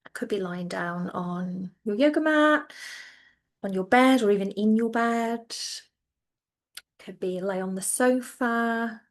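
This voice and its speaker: A woman teaching yoga nidra, guiding listeners into relaxation in a gentle, reassuring, informal way. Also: mid-range voice, takes it slowly, pauses often. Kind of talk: guided relaxation instruction.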